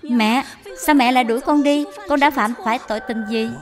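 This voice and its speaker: A woman voicing a gentle, dutiful young daughter-in-law in a cartoon dub, soft, earnest and deferential. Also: high voice, fairly even intonation, a little fast, natural voice. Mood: pleading, tearful.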